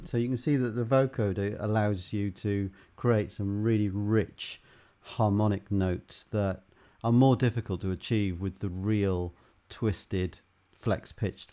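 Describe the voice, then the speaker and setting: A man's voice: low voice, dark tone. A man giving a casual tutorial as a music production instructor, with music behind him. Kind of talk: casual software tutorial narration. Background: guitar and vocal music track.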